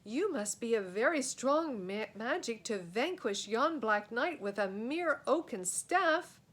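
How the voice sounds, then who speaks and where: Noble, earnest storybook knight, acted by a woman: high voice, measured pace, formal old-fashioned phrasing. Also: lively intonation, fairly bright tone. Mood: reverent, earnest. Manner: formal, archaic. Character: courtly, earnest, tall male knight of legend.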